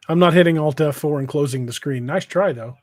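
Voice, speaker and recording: Guy with a slightly high voice hosting a casual stream, kinda halting, pretty dry close sound. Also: no echo. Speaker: podcast host and novelist. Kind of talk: casual livestream chat, reading out viewer comments. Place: indoors on an internet livestream video call.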